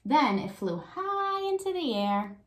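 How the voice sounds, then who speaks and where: A woman's voice: high voice, sing-song, slow pace, a bit bright. A woman, an elementary teacher giving a read-aloud lesson to young children and thinking aloud with prompting questions.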